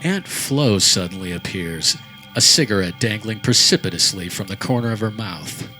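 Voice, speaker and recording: A man's voice: on the low side, lively intonation, normal speed, pushed and bright, soft background music, poor audio. A man reading scripted narration for a radio play.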